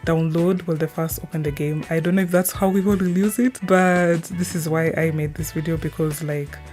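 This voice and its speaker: A woman's voice: deep voice, steady tone, normal speed, a little mellow, faint music behind. A woman giving a casual, rambling introduction in an informal, somewhat scattered and hesitant way. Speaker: video creator.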